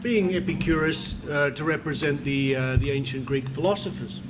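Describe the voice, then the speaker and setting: A man's voice: fairly high pitch, moderate pace, noisy background. A man with an Australian accent introducing himself as a panel guest, joking and amused.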